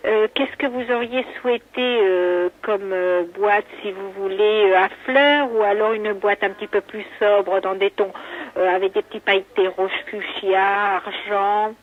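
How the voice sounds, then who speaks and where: A woman's voice: medium pitch, fairly even intonation, unhurried. A woman working at a pastry shop, talking politely and effusively on the phone with many hesitations. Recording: muffled, band-limited telephone line.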